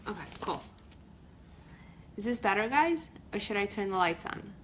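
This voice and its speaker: A woman's voice: medium pitch, expressive, moderate pace, fairly bright tone. Woman reading a bedtime story, some noise. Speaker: author and storyteller. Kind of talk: children's story reading on a casual livestream.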